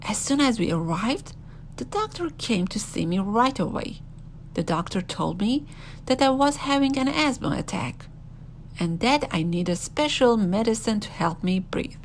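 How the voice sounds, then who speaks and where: A woman reading a first-person children's story aloud in an expressive, animated storytelling manner. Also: medium pitch, measured pace, slight background noise.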